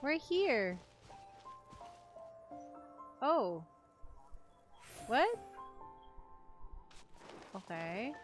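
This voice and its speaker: Filipina accent lady streaming a game, casual chatting, highish voice, music playing behind. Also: big pitch swings, drawn-out words, long pauses. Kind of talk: casual livestream gameplay chat.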